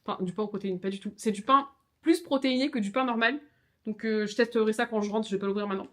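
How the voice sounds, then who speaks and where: A woman's voice: medium pitch, fairly even intonation, quick pace, a bit bright. A young woman from France vlogging casually to camera, chatty and bubbly with many fillers, filmed on a handheld camera. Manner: chatty and bubbly, gushing "trop bon", many "euh". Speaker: travel vlogger. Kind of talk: casual travel vlog to camera. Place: outdoors and in a hotel room by a lake.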